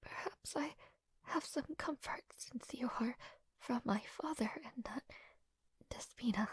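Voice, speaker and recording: A woman playing a frail, gentle young princess in an intimate fantasy audio roleplay, speaking in a breathy, hesitant, softly formal way. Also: fairly high pitch, a little slow, dry recording. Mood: hesitant, confiding. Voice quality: breathy, whispery.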